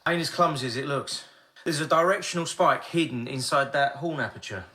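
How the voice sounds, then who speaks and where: An actor playing a crude, joking engineer who checks off equipment in a radio drama. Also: male voice, fairly high pitch, a little fast, bright, forceful tone. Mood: matter-of-fact. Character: crude, jokey male engineer.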